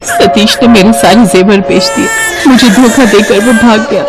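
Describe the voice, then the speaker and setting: A woman's voice: a little high, moderate pace, over music, a crowd in the background, lightly acted. A woman playing a headstrong, wronged young wife and mother in an emotional, melodramatic cartoon story. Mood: sorrowful. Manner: emotional, pleading.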